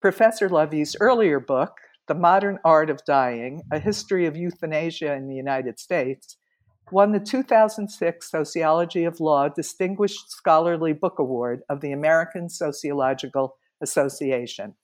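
A woman podcast host reading a formal introduction and asking interview questions in a measured way. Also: low-pitched.

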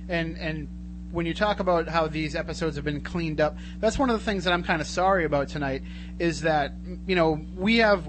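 A man with a slightly high voice hosts a radio interview in a casual, conversational way. Clear background noise and hum sit behind his voice throughout. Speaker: radio show host. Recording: noisy radio broadcast with hum.

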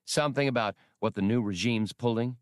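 A man's voice: mid-range voice, a little fast, smooth, no echo, natural voice. A man reading an audiobook, voicing a cocky, wry star pilot smuggler with a dry, casual, needling manner. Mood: mock casual.

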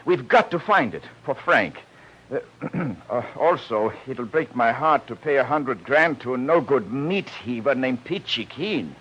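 An American actor playing an agitated, blustering radio producer in a 1940s radio drama, speaking emphatically. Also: male voice, mid-range voice, animated, a little slow, a bit bright, breathy edge. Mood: anxious, insistent. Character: agitated, fast-talking male radio show producer. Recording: muffled old radio recording, faint noise.

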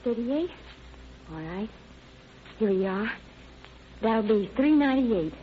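An actress playing a polite, anxious but firm young shop clerk in an old radio drama. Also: female voice, fairly high pitch, expressive, slow pace, narrow, tinny audio. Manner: courteous, apologetic, earnest. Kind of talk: 1940s radio crime drama acting. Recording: thin band-limited old radio recording, hiss.

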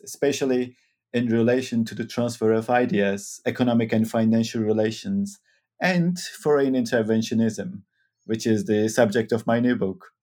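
A man from Turkey, an academic historian, explaining his work in a measured, scholarly way. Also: medium pitch, warm tone. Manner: measured, scholarly, some fillers. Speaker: author and historian. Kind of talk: academic interview.

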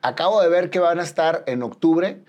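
A man's voice: on the high side, normal speed, darkish tone, muffled recording. A man with a Mexican accent hosting a casual interview, enthusiastic and full of slang. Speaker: interviewer, podcast host. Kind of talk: casual chat.

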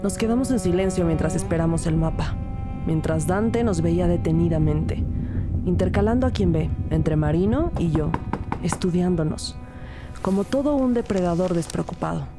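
A woman playing a wary, steely police detective who narrates her case, grave, measured and direct. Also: fairly low pitch, natural voice. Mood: grave, watchful. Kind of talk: dramatic first-person narration and dialogue. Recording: music bed under the voice.

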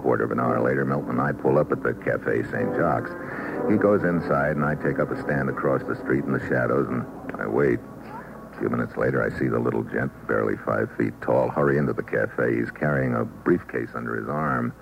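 An actor playing a cool, wry investigator who narrates his case in terse, deadpan slang, in an old-time radio noir drama. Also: a bass voice, fairly quick, creaky, over music. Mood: cool narrating. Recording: muffled, noisy vintage radio recording.

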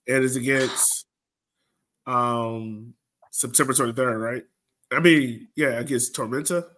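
A man's voice: medium pitch, fairly even intonation, measured pace, fairly bright tone, poor audio. A man with a mid-range voice hosts a casual fan sports podcast. He speaks in a blunt, conversational style and offers his opinions freely.

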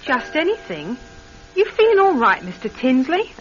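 An actress playing a plain-spoken, wary household maid with a folksy, blunt manner in an old-time radio drama. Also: female voice, high voice, sing-song, moderate pace. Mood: skeptical. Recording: muffled vintage radio recording.

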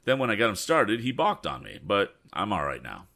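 A man's voice: fairly low pitch, huge pitch swings, fairly quick, vocal fry, sharp tone, voice pushed up, full character voice. A man voicing a folksy, apologetic, stubborn mule driver from a storybook, with a hesitant, rambling dialect. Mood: chatty, sympathetic.

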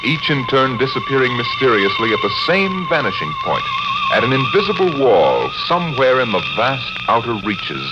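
A man narrating an old radio drama in a portentous, dramatic, deliberate style. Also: mid-range voice, rough recording. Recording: vintage radio broadcast with loud music. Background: loud dramatic music.

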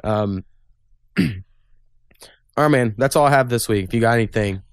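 A young man hosts a laid-back podcast chat, giving blunt opinions with plenty of swearing. His voice is smooth and clear at a middle pitch. It is recorded dry and close to the mic. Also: normal speed, no echo. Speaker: podcast host. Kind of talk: casual political chat.

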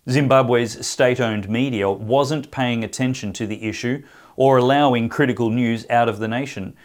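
A man hosting an interview show, reading a statement firmly and then asking questions with many hesitations. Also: mid-range voice. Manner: firm read-aloud delivery; halting filler-heavy questions. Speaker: host. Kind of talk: podcast interview.

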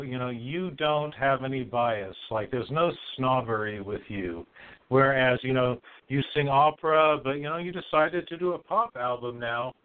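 A man's voice: mid-range voice, smooth. A man hosting a show, introducing and casually questioning a guest with fillers like "you know". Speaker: show host. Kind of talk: remote interview hosting. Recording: tinny call line.

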